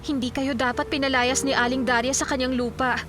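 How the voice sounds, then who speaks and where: A woman's voice: sits high, level tone, fairly quick, lightly acted. An actress playing a loyal, anxious young woman with a Filipino accent, earnest and urgent, in radio drama style.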